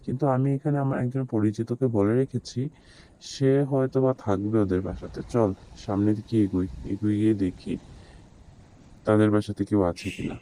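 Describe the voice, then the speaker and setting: A man's voice: fairly low pitch, fairly even intonation, normal speed, mellow, natural voice, low-quality recording. A man voicing a young city visitor in a horror cartoon, speaking in a casual, conversational way. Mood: puzzled. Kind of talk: horror cartoon story dubbing.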